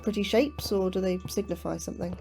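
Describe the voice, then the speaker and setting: An actress playing an anxious, apologetic astrobiologist full of questions in a sci-fi horror audio drama. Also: female voice, mid-range voice, steady tone, a little fast, creaky, dark tone, soft background music, lightly acted. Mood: inquisitive, uneasy.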